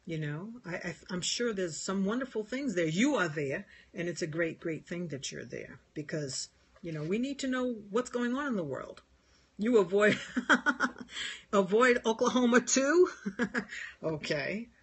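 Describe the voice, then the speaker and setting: A husky, slightly breathy voice with a New York area accent, talking into a phone on a live stream. The speaker is a spiritual healer who wanders gently from thought to thought, answering viewers' comments. Laughter comes easily between points. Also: medium pitch, lively intonation, unhurried. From New York and New Jersey. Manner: meandering, gentle, laughs often. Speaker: spiritual healer and Reiki teacher.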